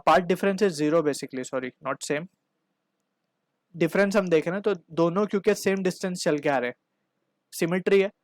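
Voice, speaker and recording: An Indian man with a slightly high voice teaches a physics problem step by step. He explains each stage and checks in with short tags. The sound is dry, with no room reverb. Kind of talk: step-by-step problem-solving lesson.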